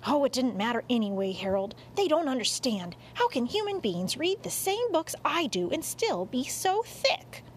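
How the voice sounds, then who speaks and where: A woman's voice: sits high, very animated, moderate pace, few pauses, slight background noise, pitched above the performer's own voice. A woman voicing a haughty, exasperated male cat with theatrical indignation in a storybook reading. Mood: haughty disdain. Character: indignant, melodramatic male cat convinced of vampires. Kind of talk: audiobook character voice.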